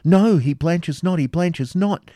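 A man's voice: a little high, normal speed, dark tone, no echo, studio quality, voice pushed up, lightly acted. A man voicing an anguished, pious young woman in a dramatic storybook reading, calling out a battle in breathless, urgent exclamations. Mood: tense, urgent. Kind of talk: dramatic audiobook character reading.

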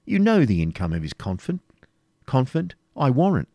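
A man's voice: medium pitch, wildly swooping pitch, moderate pace, mellow, dry recording, highs cut off. A man voicing a commanding outlaw captain, grave and coolly wry, in a storybook reading. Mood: brisk.

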